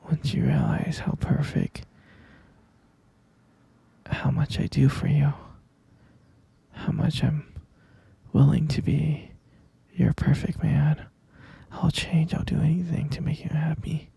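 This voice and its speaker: A man playing an obsessive, possessive kidnapper in an ASMR boyfriend roleplay, cooing slow, breathy, coaxing endearments to a captive lover. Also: fairly high pitch, expressive, mellow, dry recording, heavily acted. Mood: soothing, obsessive. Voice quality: breathy, fry-laden murmur. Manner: slow, coaxing, saccharine pet names. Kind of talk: ASMR boyfriend roleplay, intimate close whispering.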